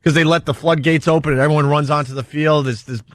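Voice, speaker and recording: A man's voice: on the high side, fairly even intonation, fast talker, dry recording. Casual male radio host, close dry sound. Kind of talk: casual comedic radio banter. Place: radio studio.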